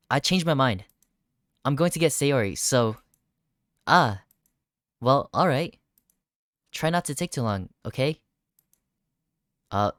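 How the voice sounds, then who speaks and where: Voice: medium pitch, no room sound, studio quality. A gaming streamer reading visual novel text aloud during a playthrough, breaking into startled reactions. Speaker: video game streamer.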